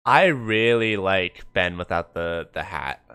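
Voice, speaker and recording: A man giving casual, halting live commentary as a streamer reviewing a comic, often repeating words mid-thought. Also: low voice, animated, sharp tone, faint music behind.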